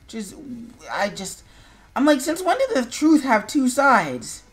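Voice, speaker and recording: A woman's voice: high voice, very animated, slow pace, faint music behind. An American woman streaming casual, opinionated chat in a sarcastic, blunt manner. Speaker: streamer, show host.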